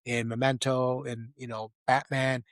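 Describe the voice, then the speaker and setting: A man's voice: mid-range voice, flat delivery, a little slow, no room sound, clean studio sound. A man hosting a podcast, discussing films casually and conversationally, often tagging his points with a questioning "right?". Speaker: podcast host.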